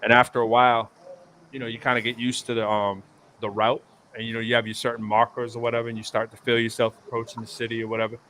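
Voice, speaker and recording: A man's voice: mid-range voice, completely flat delivery, quick pace, fairly bright tone, slight background noise. A man hosting a live stream show, talking casually and telling long rambling stories with lots of fillers and restarts. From United States East Coast. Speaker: show host. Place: hotel area in New York City.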